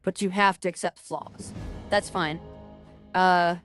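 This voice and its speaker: A woman talking through her game as a streamer, rambling and filling her talk with "like" and restarts, with a loud voice. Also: on the low side, steady tone, slow pace, faint music behind. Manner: rambling, lots of fillers and restarts. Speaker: gaming streamer. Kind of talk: casual game commentary.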